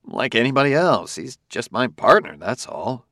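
Terse male detective, acted by a man, uneasy, low-key, creaky voice, dry audiobook sound. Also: sing-song, moderate pace, fairly bright tone, no echo, exaggerated voice. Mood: uneasy reassurance. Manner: curt, low-key, mumbling. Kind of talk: audiobook character voice.

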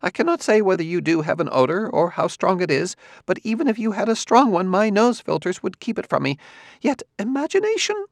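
Male narrator putting on a fussy, squeamish older scholar; high, wavering, gravelly edges, dry close sound. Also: sing-song, fairly quick, no room sound, full character voice. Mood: uneasy. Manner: high, shrinking, hesitant delivery. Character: nervous aging male sociologist, fussy and squeamish.

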